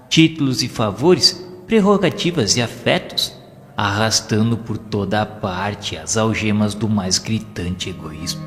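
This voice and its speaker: An actor with a Brazilian accent playing a humble, reflective disciple who narrates an audio drama in formal, respectful literary language. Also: male voice, on the low side. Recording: long hall-like reverb on voice.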